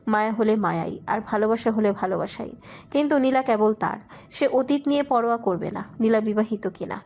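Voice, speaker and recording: A woman's voice: on the high side, a little mellow. A woman reading scripted romantic fiction as a narrator.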